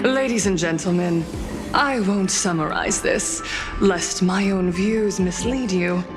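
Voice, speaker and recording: A woman's voice: mid-range voice, lightly acted. An actress voicing a poised female broadcaster in a formal, theatrical public address. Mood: solemn, imploring. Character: poised, scheming female broadcaster making a grave public accusation. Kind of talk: dramatic televised public address.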